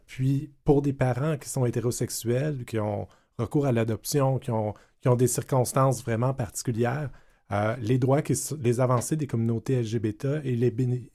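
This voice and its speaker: A man, speaking in a measured, soft-spoken way as a panel guest. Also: medium pitch. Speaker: community center director, panel guest. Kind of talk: round table discussion.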